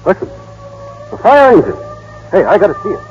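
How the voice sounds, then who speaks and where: A man's voice: sits high, huge pitch swings, fairly quick, soft background music. An actor playing a naive, plainspoken small-town young man in an old-time radio drama. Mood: boyish excitement. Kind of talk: 1930s-40s radio drama acting. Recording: muffled, band-limited old radio broadcast.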